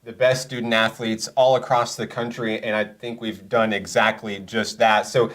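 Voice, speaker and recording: A man's voice: fairly low pitch, little pitch movement, normal speed, a bit bright. Polished male sports announcer. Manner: polished, scripted-sounding announcer delivery. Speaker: sports broadcast host. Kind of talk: broadcast sports interview.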